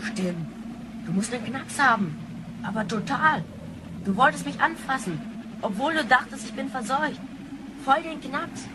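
An actress playing a brash, spoiled, jumpy young granddaughter from the future in a sci-fi radio play, slangy and excitable. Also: female voice, high-pitched, moderate pace, long pauses, background noise. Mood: incredulous, scornful. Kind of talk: sci-fi radio drama acting.

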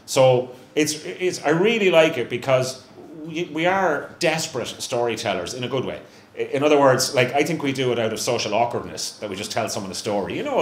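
A middle-aged Irish man chatting on stage at a live event, self-mocking and full of restarts. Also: medium pitch. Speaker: brewery representative, guest. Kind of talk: casual onstage chat. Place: small live venue room with stage. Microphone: handheld mic on stand. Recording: echoey room, faint hum.

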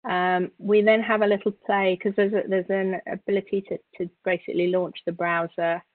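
A woman instructor giving an informal software tutorial, explaining step by step with halting, repeated words. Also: mid-range voice, little pitch movement, no echo. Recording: narrow, phone-like call audio.

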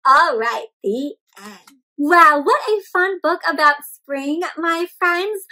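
A young woman hosting an interactive picture-book read-aloud for small children, expressive and animated, asking them questions as she goes. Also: sits high, rough recording. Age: young adult. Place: indoor room at home with plants and bookshelf.

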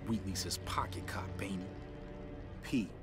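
Lead: young street hustler, cocky slang talk. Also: male voice, deep voice, a little slow, whispered, over music. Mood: tender. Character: streetwise young hip-hop hustler on the run.

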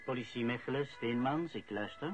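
A man's voice: medium pitch, brisk, lightly acted. An actor playing a matter-of-fact male police officer in a radio drama, with a clipped, businesslike manner. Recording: dull, faintly noisy radio-play recording.

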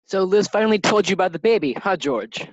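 An actor plays a steady male office friend in a radio sitcom, calm and full of advice. The recording is dull and dry. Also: medium pitch, fairly quick, dry recording, close to an everyday voice. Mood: knowing. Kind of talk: 1940s radio sitcom acting.

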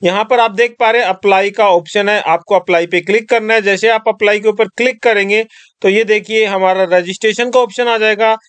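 High-voiced man giving step-by-step instructions. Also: fairly even intonation, brisk, fairly bright tone, smooth, dry recording. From Rajasthan. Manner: step-by-step instructing, addresses viewers as friends. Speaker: tutorial presenter. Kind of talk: screen-recorded tutorial voice-over.

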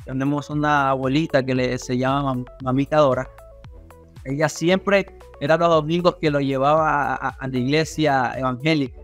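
A young man with a coastal Ecuadorian accent talks as a podcast guest. He has a medium-pitched voice and a casual, halting way of telling things, repeating words as he goes, with faint music in the background. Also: steady tone. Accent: Ecuadorian coastal Spanish. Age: young adult. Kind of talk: casual interview storytelling. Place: small indoor studio room on a video call.

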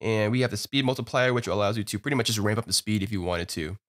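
Relaxed, low-voiced man explaining software. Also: fast. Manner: relaxed, upbeat explaining with frequent "you know" and "uh". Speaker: software tutorial host. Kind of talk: screen-recorded software tutorial.

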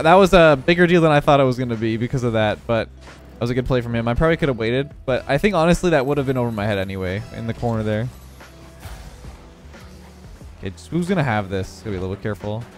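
A young man with a congested voice giving relaxed running commentary on his own video game match. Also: mid-range voice, very fast, soft background music. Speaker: gaming video creator. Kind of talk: live gameplay commentary.

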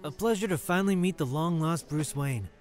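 A man's voice: on the high side, fairly quick, soft background music, close to an everyday voice. A man playing an affable, ambitious district attorney in an audio drama, polished and courteous. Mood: courteous, eager.

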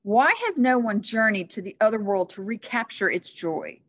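A woman's voice: expressive, normal speed, lightly acted. A woman voicing a commanding male knight in a storybook reading, with a declamatory manner. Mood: stern, booming. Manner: stern, booming, declamatory. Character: commanding, booming male Christmas knight in a fantasy tale. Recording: muffled, echoey classroom webcam audio.

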